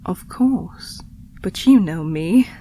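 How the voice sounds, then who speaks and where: A woman voicing a calm, principled, kindly alien co-pilot, speaking formally in a science fiction audio drama. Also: lowish voice, slow, a little hiss or hum. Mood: earnest, idealistic. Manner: composed, formal, articulate.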